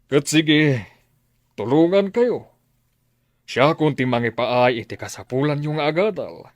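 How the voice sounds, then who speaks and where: A man playing a kindly, pious, wealthy benefactor in an Ilocano radio drama, speaking in a deliberate, emphatic way. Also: fairly high pitch, animated, no echo, clean studio sound. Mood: benevolent, advising.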